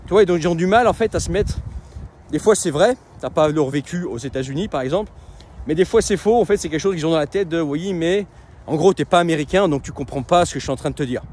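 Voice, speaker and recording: A man speaks casually to the camera in a slightly higher voice, pausing often on fillers and restarting his sentences. He is outside, with faint street and traffic noise behind him. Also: darkish tone. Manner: conversational, many fillers and restarts. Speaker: web entrepreneur and coach. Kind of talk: casual vlog monologue.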